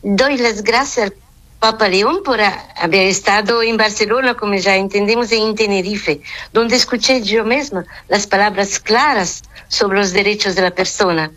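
A woman, a nun whose first language is Portuguese, speaking in a halting way, mixing Portuguese into her Spanish and searching for words. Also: mid-range voice, fairly bright tone. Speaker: nun, interview guest. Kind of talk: phone interview.

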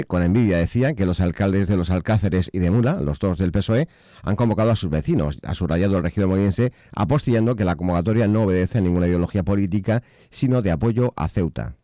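A male news reporter with an accent from Spain reads a scripted report at a quick pace. His voice is low and mellow. The recording is close and dry. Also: no room sound.

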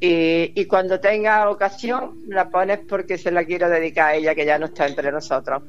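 An older woman with a Córdoba accent calling in to a radio show, chatting in a warm, sentimental way. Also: on the low side, fairly even intonation, moderate pace, lo-fi sound. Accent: Andalusian, from Córdoba (seseo). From Córdoba, Spain. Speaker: caller. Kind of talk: phone-in chat on radio.

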